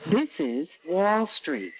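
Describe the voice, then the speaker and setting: Slow, lilting woman train announcer. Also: fairly low pitch, very animated, no room sound, poor audio. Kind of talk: recorded transit announcement, scripted. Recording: recorded train announcement with clear noise.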